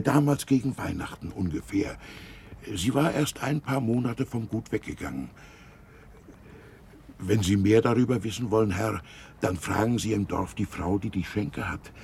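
Gravelly peasant coachman guy, lowish, servile, gossipy, radio drama, slight noise behind. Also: lively intonation, normal speed, darkish tone. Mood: matter-of-fact, chatty. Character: rustic Russian coachman, servile, slow-witted gossip. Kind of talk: German radio drama acting.

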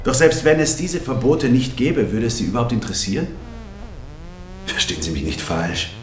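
An actor playing a secretive, wry corporate insider who addresses listeners directly in a radio drama monologue, recorded in a roomy space. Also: male voice, mid-range voice, animated, normal speed, a little hiss or hum, close to an everyday voice. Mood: wry, confiding. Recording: roomy echo with faint background ambience.

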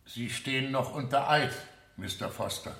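An actor playing a stern male judge, speaking formally and with measured authority in a German radio play. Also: mid-range voice, background noise, close to an everyday voice. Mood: formal, admonishing. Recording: echoey studio courtroom, older radio production.

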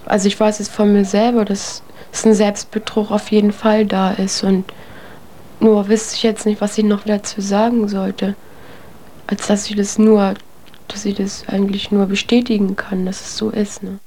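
Monotone, hesitant woman on muffled tape. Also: mid-range voice, normal speed. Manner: hesitant, repeating herself. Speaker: interviewee. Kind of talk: spontaneous interview answer. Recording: muffled, noisy interview tape.

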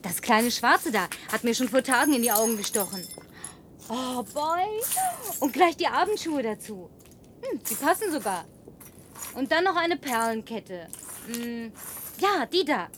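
Radio drama flirty confident woman, teasing, voice a bit high, some noise behind. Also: sing-song, a little slow, fairly bright tone. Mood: playful, confident. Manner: teasing, coquettish. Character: flirtatious, confident, playful woman driving instructor in post-apocalyptic city. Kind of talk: German radio play acting.

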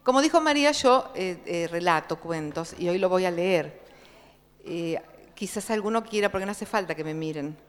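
A woman from Córdoba with an Argentine accent, telling and reading a story aloud at a book launch, slow and expressive. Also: fairly low pitch, smooth. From Córdoba province, Argentina. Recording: reverberant hall.

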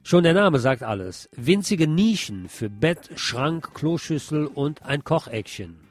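A man presenting a read news report, measured and lifting his voice for listings and headings. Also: a little high, very animated.

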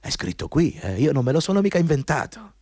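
An arrogant male company boss, bullies whoever sits across the desk. His pitch swings widely as he pompously hammers on repeated words. The studio sound is very dry and close. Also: sing-song, quick pace, darkish tone, no echo. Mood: menacing, needling. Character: arrogant, bullying male company boss interviewing a job applicant. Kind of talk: Italian radio play acting.

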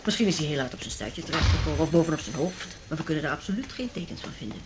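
Fast-talking, low-voiced nurse. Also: female voice, lively intonation. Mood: brisk, sceptical. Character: forthright, sceptical female hospital nurse. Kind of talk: Dutch radio play acting. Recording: clearly noisy radio play recording.

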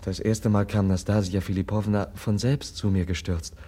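A male actor plays a compassionate young nobleman in a radio drama. His voice is lowish, soft and husky. He speaks with halting, earnest sincerity, as if every word must be believed. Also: fairly even intonation, natural voice. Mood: earnest, measured. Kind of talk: German radio drama acting.

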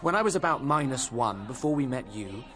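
An actor playing a moody young student in a radio drama, irritable, exclamatory and sardonic. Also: male voice, medium pitch, lively intonation, a little fast, a bit bright, faint music behind, close to an everyday voice. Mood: irritated. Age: young adult.

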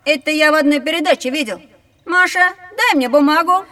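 A woman's voice: high-pitched, fairly quick, bright, forceful tone, big-room sound. A woman playing a curious, confident know-it-all young boy who eagerly explains and questions in a children's educational audio drama.

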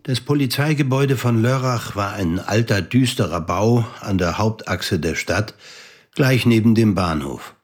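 A man's voice: fairly low pitch, measured pace, breathy edge, faint music behind. A man narrating a radio play in scripted literary prose.

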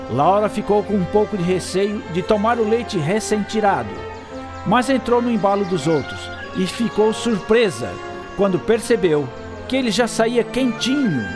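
A man narrating a scripted children's story in an expressive storytelling style. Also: high-pitched. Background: music.

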